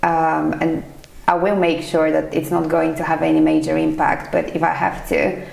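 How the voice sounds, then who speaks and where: A woman's voice: low-pitched, little pitch movement, moderate pace, gravelly, reverberant. A woman from Bulgaria in her thirties, a finance professional, answering interview questions in a thoughtful, hesitant way with many fillers. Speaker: chief financial accountant, interview guest. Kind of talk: casual podcast interview.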